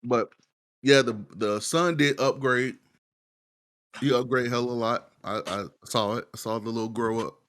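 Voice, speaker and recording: A man's voice: medium pitch, unhurried, a bit bright. A man chatting casually as a podcast panelist, rambling informally about what he watches with slang and swearing. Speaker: podcast panelist reviewing shows.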